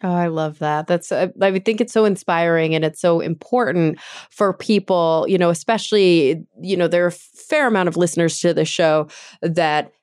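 A woman's voice: lowish voice, moderate pace, a little mellow, clean tone, no echo, studio quality. A woman hosting a podcast, asking questions in an enthusiastic, chatty way. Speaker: podcast host. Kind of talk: interview conversation.

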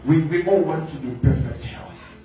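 Male preacher, measured emphatic delivery; distant mic in a very reverberant room. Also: on the high side, mellow. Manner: slow, emphatic, repeats key words. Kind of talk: sermon. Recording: very echoey room, voice from afar, faint music.

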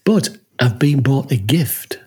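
A husky, slightly breathy man chatting casually as a podcast co-host. He laughs a lot and keeps checking in with a quick "huh?". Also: medium pitch, expressive, a little mellow. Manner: chatty, laughs often, tags lines with "huh?". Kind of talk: casual chat.

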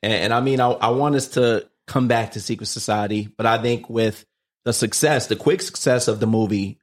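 A man's voice: mid-range voice, normal speed. A man hosting a casual interview, asking conversational questions in short stacked phrases. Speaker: podcast host, interviewer.

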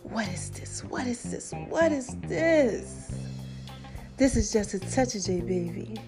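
Lively New woman, high swooping voice, a little raspy, preachy chat to her viewers. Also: slow, over music. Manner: animated, calls herself Mama, addresses viewers as y'all. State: slightly raspy voice. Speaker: online commentator. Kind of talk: casual preachy chat to followers.

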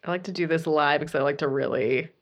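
A bubbly, enthusiastic woman hosts a casual podcast interview. Her voice sits a little low for a woman, and she laughs easily as she chats. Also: fast, dull audio. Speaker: podcast host.

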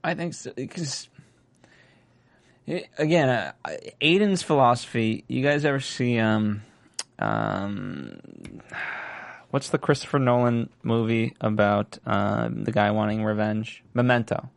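Animated man with a raspy voice. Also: mid-range voice, slow. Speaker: show host. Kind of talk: casual TV recap chat.

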